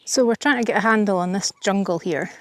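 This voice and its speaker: A woman's voice: medium pitch, fast talker. A woman co-hosting a vlog, chatting casually outdoors. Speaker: vlog co-host. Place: outdoors in an overgrown island garden. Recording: faint outdoor background noise.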